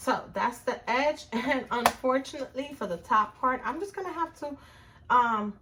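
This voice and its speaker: A woman's voice: high-pitched, moderate pace, background noise. A middle-aged woman with a Puerto Rican accent, hosting a casual crafting tutorial and chatting to viewers about her work as she goes. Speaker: crafts channel host.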